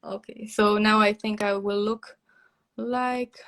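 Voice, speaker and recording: A woman's voice: mid-range voice, little pitch movement, slow, a bit bright. A woman from Romania with a Romanian accent, a native Romanian speaker. She chats as a guest on a craft live stream, talking a lot, searching for English words and laughing often. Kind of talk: casual live-stream chat.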